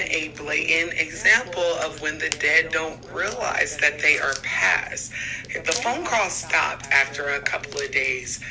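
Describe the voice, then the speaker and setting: Male online host, higher-pitched and very sharp, bright delivery, casual commentary. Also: gravelly, low-quality recording. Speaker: video host presenting paranormal clips.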